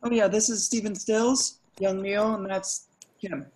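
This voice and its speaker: An anxious young slacker musician, played by a man at a table read. He stumbles through his lines nervously and awkwardly. His speech is halting and stammering, in the manner of an amateur film-script reading. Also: high voice, fairly even intonation, a little mellow, a little hiss or hum. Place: remote video call.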